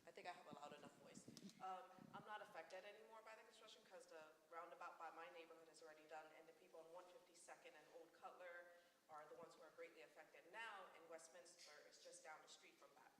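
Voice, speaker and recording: A man's voice: a little high, steady tone, quick pace, sharp tone, slight background noise. Man heard from a distance in an echoey room. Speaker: resident asking a question. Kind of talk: public comment at a community workshop.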